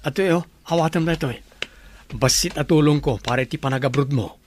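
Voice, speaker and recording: A man's voice: a little high, quick pace, slight background noise, close to an everyday voice. A man playing a humble, hardworking young man in an Ilocano audio drama, speaking earnestly and modestly. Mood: sincere.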